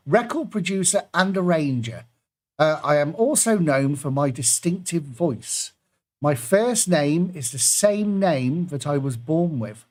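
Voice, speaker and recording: A man hosting an online pub quiz, reading out clues and adding jokey asides between them. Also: fairly high pitch.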